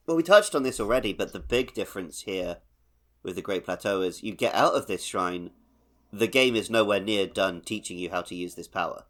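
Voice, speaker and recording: A man's voice: low-pitched, fairly quick, fairly bright tone. A man co-hosting a podcast, discussing a game casually and explaining things informally with loose, conversational phrasing. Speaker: podcast co-host.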